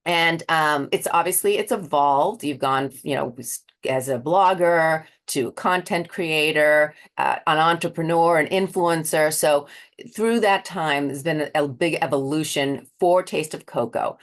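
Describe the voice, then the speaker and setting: Woman, American accent, low pitch, interviewer, chatty rambling phrasing, conversational. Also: measured pace. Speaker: interview host.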